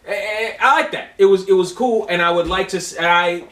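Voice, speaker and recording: A man with a high-pitched voice talks through a movie review in loose, profane chat. He gets excitable and restarts his sentences a lot. He sits back from the mic in an echoey room. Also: brisk, distant mic. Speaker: co-host of movie review video.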